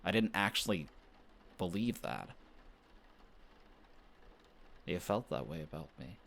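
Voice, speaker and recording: Male actor as young tsundere guy, low-pitched voice, defensive, bickering, secretly smitten, romantic audio roleplay. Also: animated, gravelly, fairly bright tone, a little hiss or hum, exaggerated voice. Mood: sheepish, confessing. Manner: defensive, bickering, halting when shy. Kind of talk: romantic audio roleplay, intimate one-sided dialogue.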